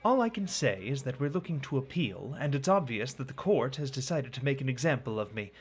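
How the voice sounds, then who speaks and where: Husky, pompous disgraced rich heir. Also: male voice, medium pitch, sing-song, brisk. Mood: pompous, defensive. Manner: pompous, theatrical. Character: defiant, self-important disgraced heir addressing the press. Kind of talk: scripted radio drama acting.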